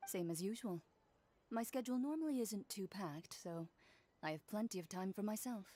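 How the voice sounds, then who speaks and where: A woman voices a calm young townswoman in a game, plain and matter-of-fact. Her voice is mellow, with faint noise behind it. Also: medium pitch, normal speed, close to an everyday voice. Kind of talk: video game voice acting.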